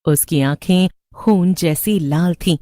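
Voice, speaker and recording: A woman's voice: fairly low pitch, slow pace, dark tone, no room sound, clean studio sound. A woman narrator reading a scripted horror story.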